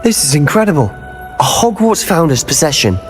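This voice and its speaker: A man's voice: fairly high pitch, sing-song, moderate pace, soft background music. An actor playing a bold, bookish young male wizard student in a video game, speaking. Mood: wondering. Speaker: game character.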